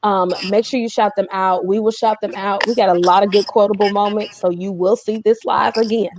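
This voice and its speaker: A woman's voice: medium pitch, fairly even intonation, fairly quick, crowd noise. A woman hosting a casual livestream chat, talking in an informal, chatty way with joking asides. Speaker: host of a music community livestream.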